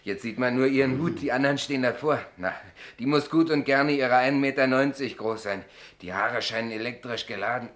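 Schauspieler als argloser, ernsthafter Hobbydichter in mittlerer Stimmlage. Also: male voice, mid-range voice, brisk, a bit bright, lightly acted. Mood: astonished. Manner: guileless, chatty, declaims rhymes solemnly. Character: naive, earnest amateur greeting-card poet, mild-mannered man. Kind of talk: German radio play acting.

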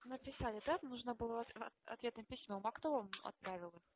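A woman speaks very quickly and in a businesslike way. Her voice is a little high, heard over a muffled phone line. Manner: businesslike, brisk. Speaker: university enrollment specialist. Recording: dull phone call, faint noise.

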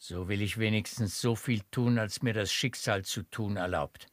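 A man's voice: lowish voice, normal speed, dry recording, clean studio sound, lightly acted. An older actor playing a grateful, sentimental baron and father in a classic radio play, theatrical and heartfelt. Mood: insistent, grateful. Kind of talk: German radio drama acting of a classic stage comedy.

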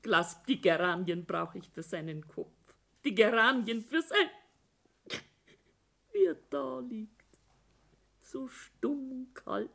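An older widowed mother in a 1960s radio drama. She speaks slowly and plaintively, halting and clinging in her grief. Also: fairly high pitch, vocal fry, fairly bright tone, exaggerated voice. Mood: tearful grief. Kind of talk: 1960s German radio play acting.

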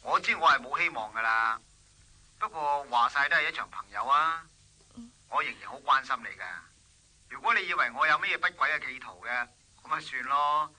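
An actor playing an earnest suitor, eager to please, in a Hong Kong Cantonese radio drama. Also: male voice, mid-range voice, brisk, bright, forceful tone, poor audio. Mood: wounded, earnest.

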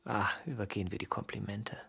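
A man's voice: lowish voice, steady tone, fast talker, creaky, pushed and bright, exaggerated voice. An actor playing a sardonic, brooding male novelist, talking in a wry, literary way in a radio drama. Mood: dry, mocking. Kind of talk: radio drama acting and narration.

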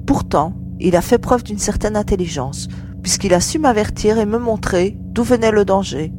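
A woman's voice: mid-range voice, moderate pace, music in the background, close to an everyday voice. A woman playing an earnest young female adventurer who cares about her comrades, in an audio serial. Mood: relieved, thoughtful. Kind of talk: acted dialogue in an amateur audio serial. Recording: clear hum and background noise.

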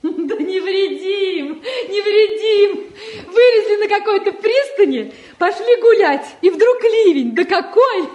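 An actress playing a spirited, frank young woman, a devoted sweetheart, in an expressive 1950s Soviet radio play style. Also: very high voice, takes it slowly, heavily acted. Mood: exuberant, laughing.

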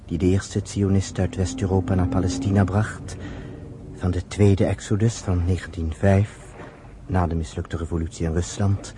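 An actor in a radio play voicing an earnest, patient storyteller, with measured, rhetorical phrasing. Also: male voice, deep voice, flat delivery, natural voice. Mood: solemn, impassioned. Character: earnest, patient male storyteller explaining his people's history. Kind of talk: radio drama acting. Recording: slightly noisy old radio recording.

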